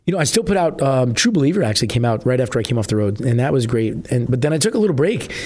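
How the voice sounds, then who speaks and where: A middle-aged man from New Jersey, a musician guest with a husky voice, chatting casually and rambling with many fillers. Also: mid-range voice, very animated, racing, a little mellow. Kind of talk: casual interview chat.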